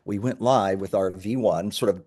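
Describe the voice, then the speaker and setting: Male startup founder as interview guest, slightly low register, earnest conversational explainer. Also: normal speed, darkish tone. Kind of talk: conversational interview answers, explaining his business.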